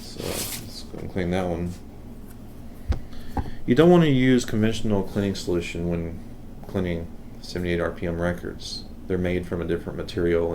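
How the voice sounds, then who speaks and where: A man giving a casual, unscripted how-to demonstration, talking haltingly and unhurriedly as he works. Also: low voice, noisy background. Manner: unhurried, halting, thinking aloud while working. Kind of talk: casual unscripted hands-on tutorial.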